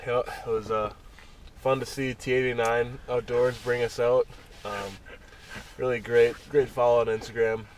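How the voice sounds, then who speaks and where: A man's voice: mid-range voice, little pitch movement, moderate pace, distant mic, slight background noise. A young man in his twenties chatting casually and briefly with friends on an ice-fishing trip. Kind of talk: casual chat.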